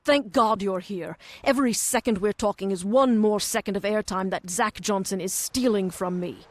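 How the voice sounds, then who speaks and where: An actress playing a brash, self-centred television reporter in a video game cutscene. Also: female voice, mid-range voice, moderate pace, no room sound, lightly acted. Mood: impatient, scheming.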